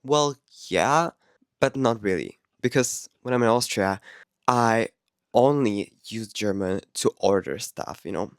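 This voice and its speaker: A man's voice: lowish voice, a little slow, smooth, dry recording, studio quality. A man with a Czech accent, whose first language is Czech, talking to camera in a chatty, candid vlog with frequent laughs and self-corrections. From Czech Republic. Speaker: vlogger. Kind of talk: casual vlog talk to camera.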